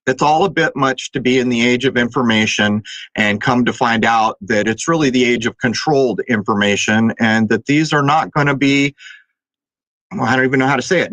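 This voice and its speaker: A man's voice: medium pitch, fairly bright tone, no room sound, rough recording. Man, podcast host, casual chat, loose and digressive, dry close sound. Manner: conversational, digressive with fillers. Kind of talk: casual talk show chat.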